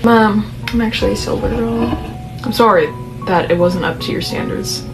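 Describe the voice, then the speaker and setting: A hoarse woman voicing a guarded young wife who answers coolly, over background music. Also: mid-range voice, moderate pace, natural voice, low-quality recording.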